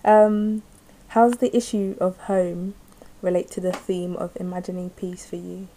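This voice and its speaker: A woman's voice: fairly low pitch, level tone, a little slow, dark tone, slight background noise, low-quality recording. A woman interviewing a guest, asking questions tentatively with small laughs. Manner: tentative, giggly.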